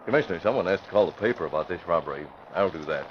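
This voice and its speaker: A man playing a calm, shrewd newspaper publisher in an old radio drama, speaking in a measured, persuasive way. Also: low-pitched. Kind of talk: 1940s radio acting. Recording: muffled old radio broadcast with faint hiss.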